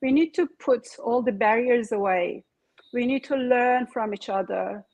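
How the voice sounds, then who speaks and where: A woman's voice: on the high side, measured pace, darkish tone, poor audio. A woman, a real estate agent speaking as an interview guest, earnest with hesitant fillers. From Kurdish-Persian background. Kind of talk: casual interview chat.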